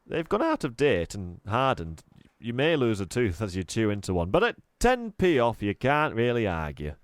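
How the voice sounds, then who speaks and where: A man's voice: mid-range voice, wildly swooping pitch, normal speed, dry recording. A man voicing a jolly, chatty middle-aged male shopkeeper with eager salesman patter, in a dry recording. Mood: cheery salesy.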